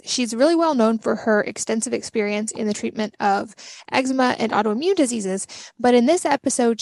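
Upbeat woman podcast host, slight fry. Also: on the high side, a little mellow, dry recording. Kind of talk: podcast intro and interview.

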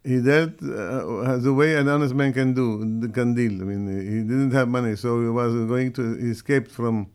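An older man with a heavy Brazilian accent, answering interview questions in halting, direct English with frequent restarts. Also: medium pitch, fairly even intonation, a little mellow, clean tone. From Syria. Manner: halting, restarts mid-phrase, plain direct phrasing. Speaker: guest.

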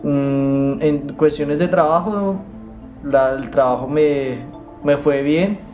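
A man from Bogotá with a Colombian accent, telling his story haltingly with many pauses, fillers and restarts. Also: a little high, soft background music. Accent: Bogotá Colombian Spanish. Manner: hesitant, many fillers and restarts, soft-spoken. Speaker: interviewee, migrant. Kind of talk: halting personal account.

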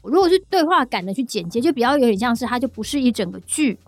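A Taiwanese woman with a fairly high voice talks very quickly as a podcast host. Her chat is casual and animated, with short phrases repeated for emphasis, over faint music. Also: no echo. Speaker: podcast host, audio drama producer.

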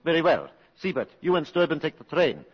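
An actor playing a cold, commanding German colonel with a husky voice, deliberate and authoritative, in 1950s radio drama. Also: male voice, on the high side, big pitch swings. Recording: dull old radio, long hall reverb.

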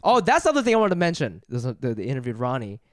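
A man's voice: medium pitch, wildly swooping pitch, very fast, no echo, studio quality. A man in his thirties co-hosting a comedy podcast, chatting casually, swearing freely and laughing often. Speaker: podcast co-host.

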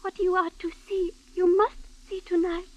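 An actress playing a composed, formal Chinese servant woman in a 1950s radio drama, speaking in measured, ceremonious phrases. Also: very high voice, flat delivery, darkish tone. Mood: solemn, insistent. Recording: dull vintage radio recording.

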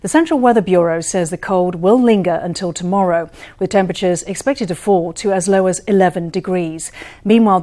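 A woman reading the news as anchor in a measured, formal delivery. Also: lowish voice, mellow.

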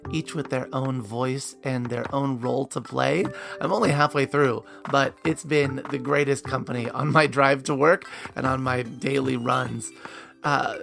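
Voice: medium pitch, gravelly. A podcast host chatting casually and laughing while asking questions. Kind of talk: casual interview chat.

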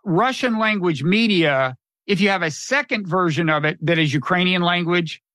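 Male interviewer, high pitch, clarifying questions. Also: moderate pace, pushed and bright, dry recording, clean studio sound. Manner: clarifying, recapping context. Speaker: podcast host.

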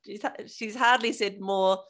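A woman hosting a running podcast, chatting in a bubbly, animated way and laughing often. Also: medium pitch, unhurried. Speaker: podcast host. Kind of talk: casual interview chat.

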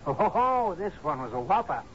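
A man's voice: high voice, big pitch swings, brisk. An actor in a radio drama playing a loyal, agreeable young man, deferential and hearty toward his captain. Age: 20s. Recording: dull, noisy 1970s radio recording.

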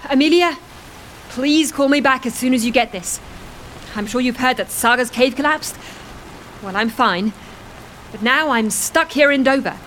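A woman's voice: high voice, moderate pace, pauses often, a bit bright, a little hiss or hum. A woman playing an urgent, exasperated stranded agent pleading for help in an audio drama.

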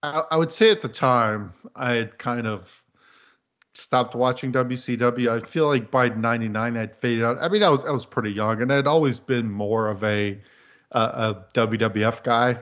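A man in his thirties from the American Deep South, chatting casually as a podcast guest in a meandering, dryly humorous way. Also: moderate pace, a bit bright. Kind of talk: casual chat. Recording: dull lo-fi podcast audio.

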